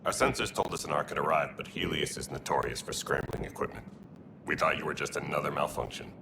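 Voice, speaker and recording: Sci-fi game militia chief: very low, rough, grim, terse, noisy background. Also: male voice, quick pace, distant mic. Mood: grim, weary. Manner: terse, grave. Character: weary, grim male space-station militia leader.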